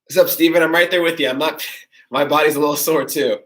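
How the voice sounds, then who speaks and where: A man's voice: on the high side, fast. Congested man coaching from across a roomy gym. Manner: relaxed, friendly coaching while demonstrating stretches on the floor. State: congested, stuffy nose. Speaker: fitness coach. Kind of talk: live-streamed workout instruction. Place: garage gym, indoors. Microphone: camera mic from across the room. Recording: echoey garage, voice from a distance.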